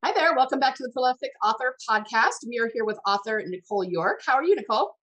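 A woman's voice: on the high side, lively intonation, quick pace, a bit bright. A woman hosting a writing podcast, speaking as a host and coach to her audience and guests. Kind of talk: scripted introduction, then casual interview.

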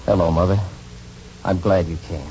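Intense young husband, muffled radio. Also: male voice, sits low, fairly even intonation, moderate pace, darkish tone. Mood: hopeful. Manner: earnest, insistent, emotional. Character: intense, proud young husband and devoted son. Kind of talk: 1940s radio drama acting. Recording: muffled, noisy 1940s radio recording.

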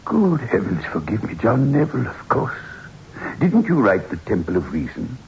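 An actor playing a superstitious, courteous old widower in a 1960s radio horror drama, speaking gravely and hesitantly. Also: male voice, lowish voice, wildly swooping pitch, moderate pace, darkish tone. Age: older. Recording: dull, noisy old radio recording.

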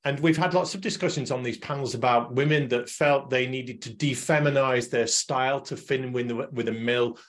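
Guy hosting a panel, mid-pitch voice, asks stuff straight out. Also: fairly quick, few pauses. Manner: direct, steering questions. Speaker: host, moderator. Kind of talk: moderating a panel.

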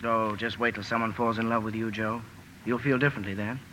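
An actor playing an eager, teasing young romantic, in the emphatic manner of old-time radio drama. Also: male voice, lowish voice, fairly quick, lightly acted. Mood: romantic, confiding. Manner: animated, emphatic. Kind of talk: 1940s-50s radio drama acting. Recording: noisy vintage radio broadcast recording.

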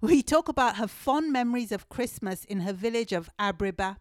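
A woman's voice: on the high side, lively intonation, a little fast, no room sound, studio quality. A woman hosting a podcast interview, reading a prepared introduction and then chatting in a friendly, upbeat way with her guest. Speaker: podcast host.

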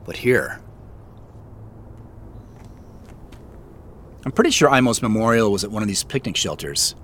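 A man's voice: on the low side, big pitch swings, fast talker, a bit bright, slight background noise. An actor playing an uneasy, self-deprecating businessman in a naturalistic audio drama, talking hesitantly with small chuckles. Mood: tentative, thoughtful. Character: uneasy, wry businessman searching for answers.

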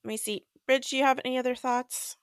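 A woman's voice: sits high, fairly even intonation, fast, smooth, dry recording, clean studio sound. A woman co-hosting a podcast, casually discussing and recapping books. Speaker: podcast co-host.

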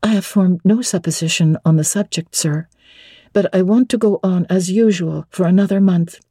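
A woman's voice: on the low side, normal speed, mellow, breathy edge, no echo, studio quality. A woman voicing a stubborn young governess in a classic novel, prim and formally polite but firmly defiant. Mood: polite defiance.

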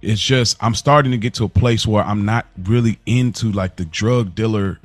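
An American man co-hosting a podcast in casual conversation, telling things in an animated, slangy way. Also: on the low side, moderate pace, no echo, soft background music. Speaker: podcast co-host.

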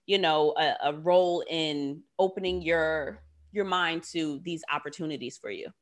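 A woman's voice: sits low, moderate pace. A woman hosting a casual, conversational interview and asking questions. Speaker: podcast host, interviewer.

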